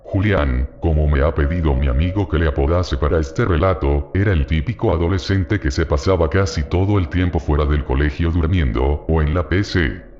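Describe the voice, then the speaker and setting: A man narrating a horror story in a measured, solemn way. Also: extremely low pitch, a little mellow, big-room sound, muffled recording.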